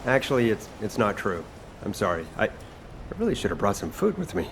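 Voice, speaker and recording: An actor playing a brash but earnest military chef in a video game, with a casual, expressive, reactive delivery. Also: male voice, on the low side, a little fast, gravelly, a little hiss or hum. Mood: apologetic, casual.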